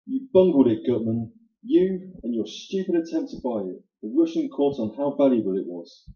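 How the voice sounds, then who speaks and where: Casting: male actor as fussy nervous villain for radio noir, very soft dark timbre, animated intonation, over-precise and excitable. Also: mid-range voice, moderate pace, natural voice. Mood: agitated. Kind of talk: staged radio play acting, 1940s noir. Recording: roomy, dull live stage recording.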